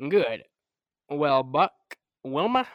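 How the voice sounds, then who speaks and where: Man acting excitable inventor doctor, old-time radio serial style, lively intonation, dry close sound. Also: a little high, extremely slow, dry recording, highs cut off, heavily acted. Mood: businesslike. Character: excitable, good-humoured scientist, male doctor and inventor.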